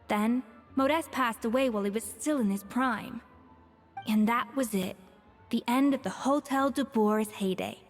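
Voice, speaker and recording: A woman voicing a composed head chef who speaks in a measured, dry, slightly disdainful way. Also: on the high side, natural voice. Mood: matter-of-fact. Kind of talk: video game voice acting. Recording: reverberant game audio with soft music.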